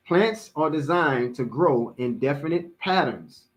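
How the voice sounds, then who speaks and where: A middle-aged man giving a religious public address as an imam, speaking deliberately and repeating key phrases for emphasis. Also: a little high, expressive, low-quality recording.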